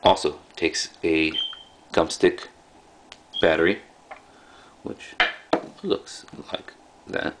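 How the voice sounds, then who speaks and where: A low-voiced man hosts a casual product showcase at home. His delivery is halting and offhand, broken into short phrases. The recording has clear background noise. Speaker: video host, collector. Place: indoors in a room at home.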